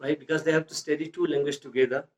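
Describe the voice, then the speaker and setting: Pakistani man speaking earnest, halting English. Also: on the high side, fairly even intonation, lo-fi sound. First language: Urdu or a local language. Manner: earnest, pausing often with fillers and restarts; non-native English with grammar slips. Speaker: school founder and English teacher. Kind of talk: interview answers.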